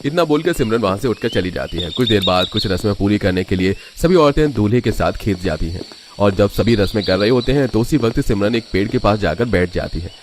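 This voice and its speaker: A man's voice: fairly low pitch, expressive, brisk, background noise. A man reading scripted narration for a cartoon family story as the storyteller.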